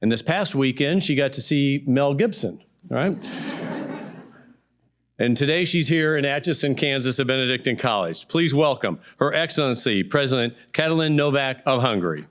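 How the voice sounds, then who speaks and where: A man's voice: mid-range voice, animated, normal speed, a bit bright. Man giving a prepared introduction. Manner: reads a prepared, upbeat introduction. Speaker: college host introducing the guest. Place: college hall, live audience.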